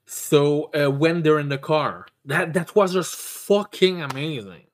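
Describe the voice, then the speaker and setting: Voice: medium pitch, unhurried, slight background noise. A podcast host chatting casually and enthusiastically, stammering and repeating words, with emphatic swearing. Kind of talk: casual chat, enthusiastic review.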